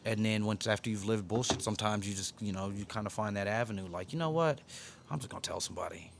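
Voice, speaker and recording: A man's voice: on the low side, quick pace, gravelly, slight background noise. A man chatting casually on a podcast, telling jokes and laughing often in loose slang. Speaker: comedian guest.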